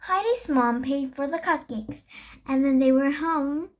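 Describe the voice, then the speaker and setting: High-pitched young girl reading slowly. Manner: halting, with stumbles and self-corrections. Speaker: storybook reader. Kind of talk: read-aloud children's story narration.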